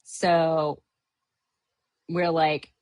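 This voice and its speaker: A woman's voice: low-pitched, flat delivery, extremely slow, a little mellow, dry recording. Chatty middle-aged woman from Hawaii. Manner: chatty, rambling with many fillers and restarts. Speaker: podcast host. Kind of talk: casual chat recapping a trip.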